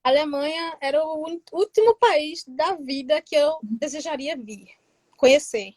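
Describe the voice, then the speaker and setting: A woman from Northeast Brazil, a guest telling her story casually and lively in an interview. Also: high-pitched, moderate pace, no echo. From Northeast Brazil, Pernambuco interior. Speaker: guest, tax assistant in Germany.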